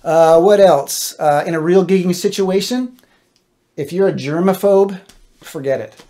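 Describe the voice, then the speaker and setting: A man's voice: sits high, measured pace, warm tone. A middle-aged American man giving casual, wry instructional tips as a gigging guitarist, speaking into a close mic in a small home studio. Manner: informal, wry and self-deprecating. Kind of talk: casual instructional talk to camera. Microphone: close stand-mounted dynamic mic.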